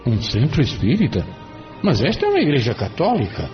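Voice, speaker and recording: A man's voice: medium pitch, wildly swooping pitch, brisk, faint music behind. An actor with a Brazilian accent playing a benevolent, inquisitive male spirit mentor in an audio drama. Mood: curious, surprised. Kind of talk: audio drama acting in Portuguese. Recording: dull lo-fi audio.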